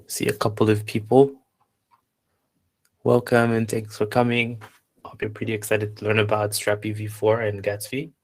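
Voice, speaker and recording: A man from Zambia hosting a live online workshop, chatting casually and haltingly as he reads out attendees' replies. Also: lowish voice, completely flat delivery, a little mellow, rough recording. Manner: unhurried, halting, reading out chat replies. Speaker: workshop instructor and host.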